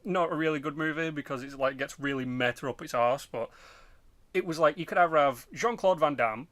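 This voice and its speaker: A man in his twenties hosting a casual podcast chat about films and games, telling things in an animated, informal way with mild swearing. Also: on the high side, a little fast, dry recording. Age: 20s. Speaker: podcast host.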